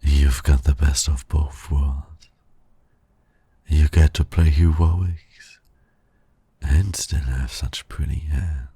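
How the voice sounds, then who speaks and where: A man playing a suave, teasing supervillain in a flirtatious ASMR romance roleplay, gravelly and breathy, purring and often dropping to a whisper. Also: very deep voice, steady tone, slow, pauses often, mellow, dry recording, exaggerated voice. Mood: teasing, intimate. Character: suave, teasing male supervillain courting his hero nemesis.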